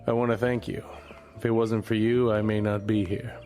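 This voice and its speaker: A man playing a crude, wisecracking noir-style hero in a comedy audio drama, delivered deadpan. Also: fairly low pitch, normal speed, soft background music, close to an everyday voice. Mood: joking.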